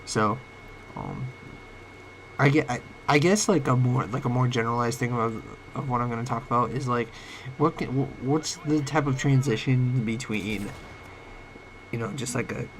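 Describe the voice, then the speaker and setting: A young man with a cold and a cracking voice, talking about his life in a halting, rambling way full of fillers. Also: mid-range voice, slight background noise, low-quality recording. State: sick, voice cracking. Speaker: vlogger. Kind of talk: late-night personal talk. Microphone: home microphone, uneven volume.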